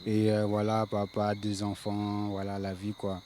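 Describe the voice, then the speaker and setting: Flat-voiced man in his thirties. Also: lowish voice, unhurried, slight background noise. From Paris suburbs. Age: 30s. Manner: laid-back, many fillers, flat delivery. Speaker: reggae singer guest. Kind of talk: casual interview.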